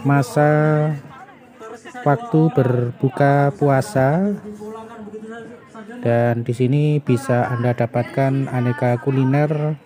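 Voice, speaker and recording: A middle-aged man narrates a walking review as a vlogger, in halting phrases dotted with little tag words. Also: medium pitch. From Indonesia. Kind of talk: walking vlog narration, food bazaar review. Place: outdoor night food bazaar in a car park.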